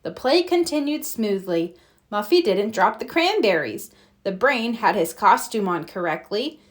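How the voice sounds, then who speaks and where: A woman narrating a children's storybook aloud in an expressive read-aloud style. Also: medium pitch, a little slow. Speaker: storybook reader.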